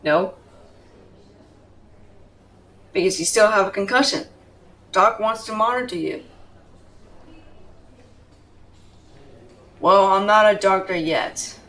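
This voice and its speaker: A woman's voice: mid-range voice, moderate pace, fairly bright tone, far from the mic, lightly acted, poor audio. A woman playing a fiercely protective, tender tsundere girlfriend in an intimate, emotional roleplay audio, blunt in her affection. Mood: firm, affectionate. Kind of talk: dramatic roleplay audio, intimate second-person acting.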